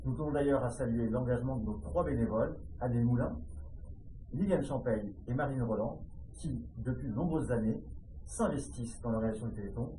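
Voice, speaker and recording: A man's voice: medium pitch, fairly quick, warm tone. A French man giving a formal public address to residents as a local official. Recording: distant, muffled, echoey room with faint noise.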